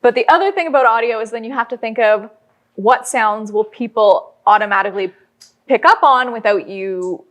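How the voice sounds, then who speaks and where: A woman's voice: a little high, normal speed, a bit bright. A woman speaking as an interview guest, explaining her creative work in a conversational way with illustrative examples. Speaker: interview guest, audio drama writer.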